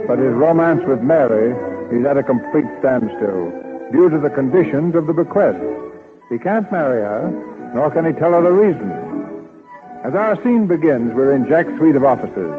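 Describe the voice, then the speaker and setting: A man's voice: mid-range voice, animated, normal speed, music in the background. A man announcing in formal 1930s radio narration style, on a muffled old broadcast recording.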